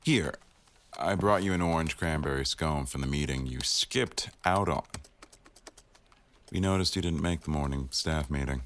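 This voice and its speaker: A man's voice: a bass voice, normal speed, fairly bright tone, slight background noise. A man playing a stern, teasing office boss in a boyfriend roleplay audio, speaking in a wry, formal way. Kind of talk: boyfriend ASMR roleplay acting.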